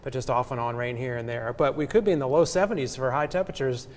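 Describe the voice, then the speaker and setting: A man's voice: medium pitch, fast talker, long reverb tail. A man with an American accent giving an unscripted television weather forecast. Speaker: TV meteorologist.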